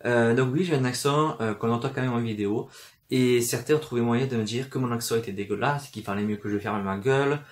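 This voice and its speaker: A man's voice: mid-range voice, brisk. A young man from the south of France with a southern French accent, talking to camera in a personal vlog, hesitant, with many fillers and restarts and an occasional stutter. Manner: stutters at times. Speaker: book vlogger. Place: room full of books, indoors.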